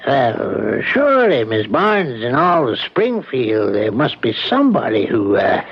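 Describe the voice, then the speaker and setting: An actor playing an evasive, courteous small-town judge who hems and stammers, in an old-time radio drama. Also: male voice, mid-range voice, wildly swooping pitch, unhurried, breathy edge, lo-fi sound, full character voice. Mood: polite, flustered. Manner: hemming, stammering, evasive, polite.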